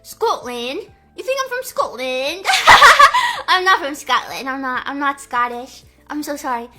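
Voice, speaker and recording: A young woman from the Midwest chatting playfully on a live stream, answering chat guesses, full of "like" fillers and drawn-out vowels. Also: high voice, very animated, music in the background. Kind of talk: casual live-stream chat reading comments.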